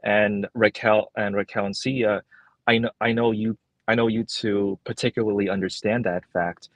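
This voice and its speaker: Low-voiced man, earnest, dry sound. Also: flat delivery, moderate pace, no echo. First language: Cantonese. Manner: earnest, many fillers and restarts. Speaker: guest. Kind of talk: casual interview answers. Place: library at his workplace.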